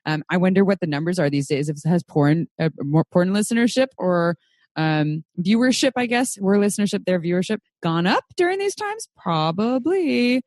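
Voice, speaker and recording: A woman's voice: on the low side, lively intonation, normal speed, a little mellow, no room sound, clean studio sound. A woman hosting a podcast in casual chat, with a gushing, informal and enthusiastic manner. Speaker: podcast host.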